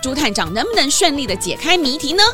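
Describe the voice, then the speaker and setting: A woman's voice: high-pitched, big pitch swings, quick pace, music in the background. A woman narrator telling a children's detective story in a dramatic, scripted style.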